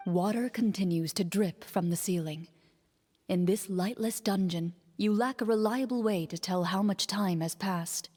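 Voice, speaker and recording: An actress playing a reserved, composed young duelist in a video game, speaking in a measured, matter-of-fact way. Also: female voice, medium pitch, a little mellow, lightly acted. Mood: solemn narrating. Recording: reverberant game audio over music.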